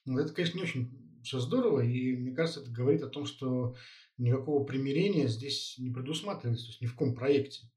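A man's voice: medium pitch, fast, darkish tone. A man in a casual political discussion, putting questions back to his partner and scattering filler words through his sentences. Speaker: co-host. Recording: echoey, a bit far from the mic.

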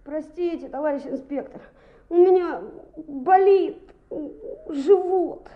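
A woman playing a mischievous, chatty schoolboy in a radio play, expressive and boyish. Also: very high-pitched, takes it slowly. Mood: sheepish. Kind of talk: radio play narration and dialogue. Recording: muffled, narrow-band old radio recording.

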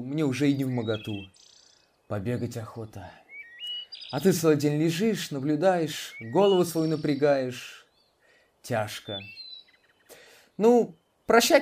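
A man's voice: medium pitch, a little mellow, recorded from a distance, lightly acted. A blunt, restless male dog from an audio tale who speaks plainly and tacks on a bark, as a man acts him out. The voice sits a little back from the mic with faint noise behind.

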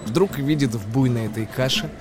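An actor playing a wry, agitated storyteller in a radio play, reciting a ghoulish verse tale in an expressive, theatrical manner. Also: male voice, mid-range voice, moderate pace, close to an everyday voice. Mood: wry dread. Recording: music behind the voice. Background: music.

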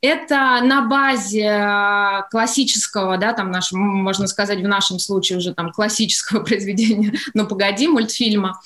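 A woman answering as a guest in a studio radio interview, measured and hesitant, often qualifying her phrasing. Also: mid-range voice, fairly even intonation, fairly bright tone.

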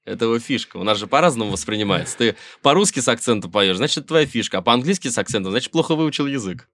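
A man's voice: mid-range voice, animated, rapid-fire, a bit bright, no room sound, clean studio sound. A middle-aged Russian man, a musician guest in a casual interview, talking wryly in rambling sentences with many fillers and restarts.

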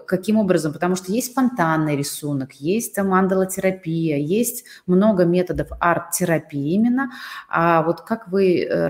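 A woman's voice: fairly low pitch, a little mellow. A woman hosting an interview, asking long, winding questions with many fillers. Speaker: interview host.

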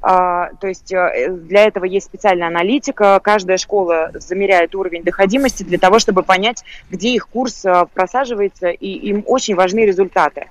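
A woman's voice: medium pitch, fairly even intonation, brisk, hardly any pauses, no room sound. A female guest expert in a live interview. Her sound is close and dry, and she explains her points in a conversational way. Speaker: guest expert, lawyer for online schools.

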